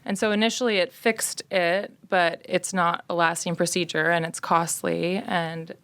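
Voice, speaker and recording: Smooth-voiced woman in her thirties. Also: fairly low pitch, flat delivery, normal speed, a bit bright. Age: 30s. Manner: earnest, reflective storytelling. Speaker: podcast guest, patient. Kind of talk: casual interview.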